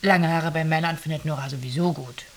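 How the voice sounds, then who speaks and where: An actress playing a dry, detached storyteller in a literary radio drama. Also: female voice, low-pitched, a little fast, close to an everyday voice. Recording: distant mic, noisy with clicks.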